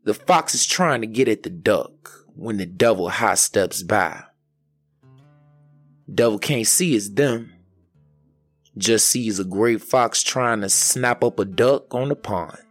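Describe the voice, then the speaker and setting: Slow-paced male folk tale storyteller. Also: lowish voice, animated, no echo, faint music behind. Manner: measured, dramatic pauses. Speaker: storyteller, podcast host. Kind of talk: narrated folk tale reading.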